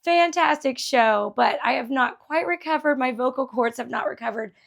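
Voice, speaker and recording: A woman's voice: high voice, moderate pace, gravelly. A middle-aged woman hosting a thrift haul vlog in a chatty, enthusiastic way, her voice a little scratchy after a concert. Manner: chatty and enthusiastic, laughs often. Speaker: vlog host. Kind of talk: casual thrift haul chat.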